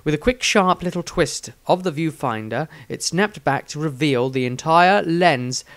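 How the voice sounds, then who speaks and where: A man's voice: on the high side, expressive, unhurried, fairly bright tone, no echo. A man narrating a fantasy story expressively, as an author reading his own work.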